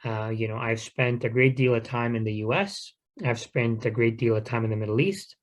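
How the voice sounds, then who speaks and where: A man giving an unscripted informational talk to a community group in an earnest, measured, explanatory way. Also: mid-range voice. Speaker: guest speaker at a community talk.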